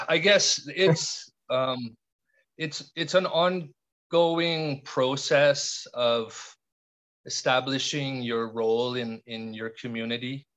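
A middle-aged man from Canada, a podcast guest speaking in a reflective, halting way with frequent restarts and fillers. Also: mid-range voice, fairly bright tone. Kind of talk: reflective conversational interview.

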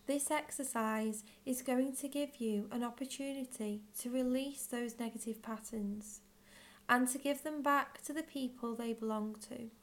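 Slow, higher-voiced woman guiding a meditation. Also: fairly even intonation. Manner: measured, gentle phrasing with long pauses. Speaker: guided meditation leader. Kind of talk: guided visualisation, slow scripted narration.

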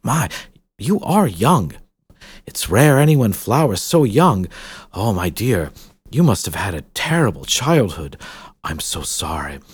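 A man voicing a gushing, welcoming woman who leads a hidden paradise, with a lively, sing-song delivery. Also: a bass voice, measured pace, slightly rough, no echo, heavily acted. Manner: effusive, gushing.

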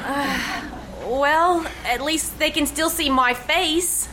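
Woman as a very high, eager, dim young lady. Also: slow. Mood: eager, hopeful. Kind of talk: live old-time radio comedy acting. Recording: live theater recording with background noise.

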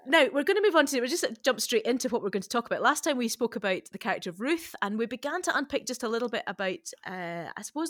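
A woman's voice: mid-range voice, a bit bright, no echo. Woman podcast host, dry close sound. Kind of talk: conversational hosting and questioning.

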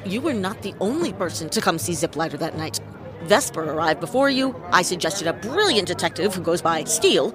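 An actress playing a cocky, quick-witted detective in a comic noir audio drama, theatrical, wry and self-satisfied. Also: female voice, on the low side, very animated, music in the background. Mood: wry, assured.

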